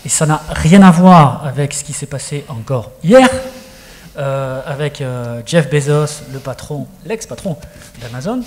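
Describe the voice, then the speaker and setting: A middle-aged man with a somewhat high voice hosts a studio interview. He speaks conversationally, setting up topics and asking questions into a handheld mic. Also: animated, a little slow, darkish tone. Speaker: host. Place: studio set with screens.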